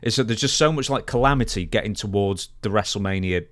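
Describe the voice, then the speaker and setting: A man's voice: fairly low pitch, fast, fairly bright tone, no echo. A young man co-hosting a podcast, chatting casually about wrestling into a close studio microphone. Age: young adult. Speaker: podcast co-host. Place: small home studio. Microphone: broadcast microphone on boom arm, close.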